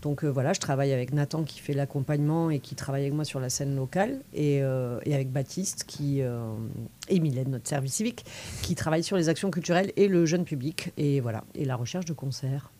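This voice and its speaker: A woman's voice: low voice, fairly quick, warm tone. A woman speaking as an interview guest in an informal, chatty way. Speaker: interview guest, music programmer. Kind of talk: casual chat.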